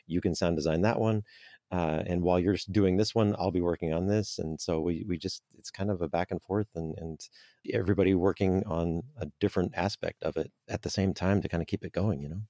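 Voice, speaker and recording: A laid-back man guest with a very low voice, explaining his team's work while he thinks it through. He downplays things with easy self-deprecation. The sound is dry and close. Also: mellow, no echo. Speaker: audio drama producer, guest. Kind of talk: conversational interview answers.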